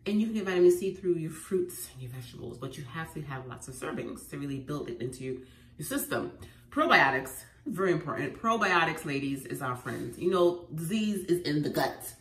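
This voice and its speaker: A woman with a head cold, sounding congested, sharing home remedy tips in an animated, emphatic vlog at home. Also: low-pitched. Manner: animated and emphatic, repeating phrases. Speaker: lifestyle vlogger. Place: at home indoors. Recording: echoey room, faint noise.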